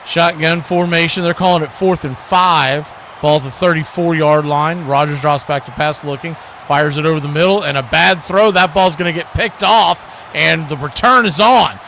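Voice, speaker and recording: Guy w a kinda high voice doing live football play by play and chatting, noisy background. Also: normal speed, creaky. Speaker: radio play-by-play host of a live football watch party. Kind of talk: live sports play-by-play mixed with casual chat. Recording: noisy feed with steady background noise.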